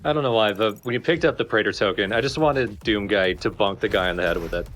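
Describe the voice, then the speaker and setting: A man's voice: lowish voice, fast, a bit bright. A man giving casual side commentary during a let's play of a video game. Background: game music.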